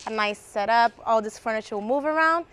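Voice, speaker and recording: A woman's voice: on the high side, a little fast, fairly bright tone. Upbeat woman giving a hotel tour. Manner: upbeat, with frequent "like" fillers. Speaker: hotel events representative. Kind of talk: guided venue tour. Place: hotel venue, indoors and on terraces. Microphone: lapel mic.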